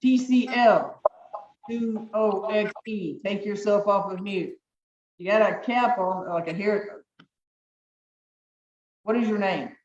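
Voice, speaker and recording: Deep-voiced woman judge on a zoom call, firm and brisk, far off and echoey, kinda muffled. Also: a little mellow, a little hiss or hum. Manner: firm, brisk, repeats procedural instructions. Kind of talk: presiding over virtual court hearings. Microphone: video call. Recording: echoey, distant, dull video-call audio.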